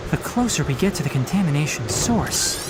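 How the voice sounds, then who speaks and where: A calm young ranger scholar. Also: male voice, fairly high pitch, heavy background noise. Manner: measured, explanatory. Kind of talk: video game voice acting. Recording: game audio with loud soundtrack.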